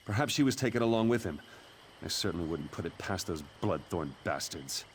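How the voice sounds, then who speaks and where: Actor as a low-voiced, exasperated court chamberlain. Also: male voice, lightly acted. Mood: flustered. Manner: formal, exasperated, wry. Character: harried, scornful male court chamberlain. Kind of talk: fantasy video game dialogue. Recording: music behind the voice.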